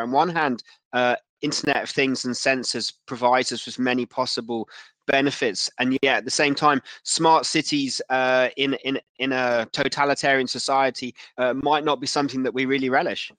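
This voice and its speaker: Bright-voiced man interviewing, careful phrasing. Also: mid-range voice, no room sound. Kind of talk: conversational interview questioning.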